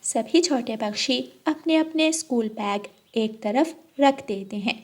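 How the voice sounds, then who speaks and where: A woman's voice: fairly high pitch, unhurried. A woman reading a scripted narration for a children's story.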